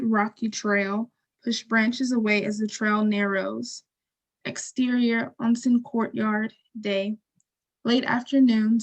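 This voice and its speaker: A woman narrating stage directions from a script in a measured, neutral, descriptive way. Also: a little high.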